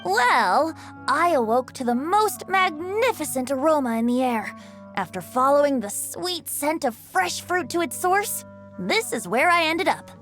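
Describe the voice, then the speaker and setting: A woman's voice: high-pitched, expressive, a little slow, fairly bright tone. A woman voicing a breezy, playful young bard in a video game, with flowery, lilting, storyteller-like speech. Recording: music behind the voice.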